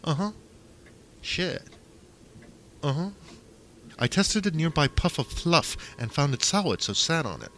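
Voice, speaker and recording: Animated man narrating a story, bright voice. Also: on the high side, moderate pace, few pauses. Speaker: audiobook narrator. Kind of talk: dramatic first-person story narration. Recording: faint noise behind the voice.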